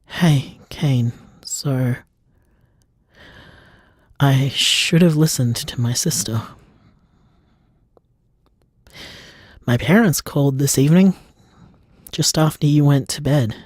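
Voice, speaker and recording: Man acting as a slow, halting, wounded man. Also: mid-range voice, dry recording, studio quality. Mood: shaken, halting. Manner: halting, repeating himself, emotional. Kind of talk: audio drama voicemail monologue.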